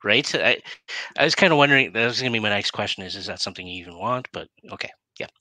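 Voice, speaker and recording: A middle-aged man hosts a casual live interview. His voice is a bit deep yet very bright and sharp-edged. He chats with hesitant phrasing into a headset, with a dry, close sound. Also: animated, quick pace, no echo. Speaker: talk show host. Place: plain room, indoors.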